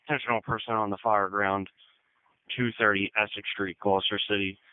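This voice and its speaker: A man's voice: lowish voice, flat delivery, brisk, clear, resonant tone, no room sound. A man working as a county fire dispatcher on two-way radio, reading back messages in a procedural way. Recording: phone-like narrowband radio transmission.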